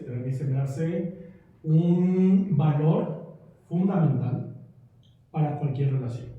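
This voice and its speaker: A man's voice: on the high side, dull audio. Man talking far off in an echoey room. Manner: rambling, reflective, uses many fillers. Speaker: discussion participant. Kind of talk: casual group discussion. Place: room of a community gathering.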